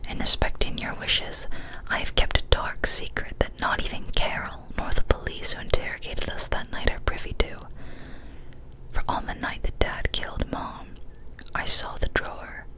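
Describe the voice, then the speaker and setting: A young woman reading a scary story as whispered ASMR, soft, breathy and deliberate, close to a studio microphone. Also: poor audio. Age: young adult. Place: small decorated indoor room. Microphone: large studio microphone, close up.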